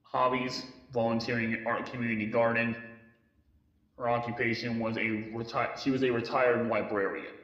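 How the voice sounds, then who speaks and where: A man reading a scripted police case briefing in a halting way, occasionally stumbling over words. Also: medium pitch, flat delivery, fairly bright tone. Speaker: narrator presenting a police case file. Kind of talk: scripted narration, mock police briefing tape in an analog horror story. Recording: muffled lo-fi tape sound, roomy echo.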